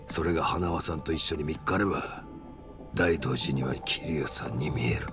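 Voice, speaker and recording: A deep-voiced yakuza bargains in Kansai dialect, politely but with open menace. It is game cutscene acting, low and calculating, with music clearly underneath. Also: male voice, moderate pace. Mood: coolly persuasive. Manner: polite speech with blunt, threatening edges.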